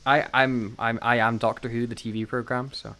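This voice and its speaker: A man's voice: mid-range voice, level tone, moderate pace, sharp tone. A man co-hosting a podcast in casual chat, with a wry, jokey manner and frequent laughter. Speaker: podcast co-host. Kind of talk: casual chat reviewing audio dramas.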